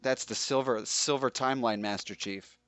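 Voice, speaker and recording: A man co-hosting a podcast, chatting casually in a halting, conversational way. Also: mid-range voice, a bit bright, no room sound. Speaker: podcast co-host. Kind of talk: casual chat.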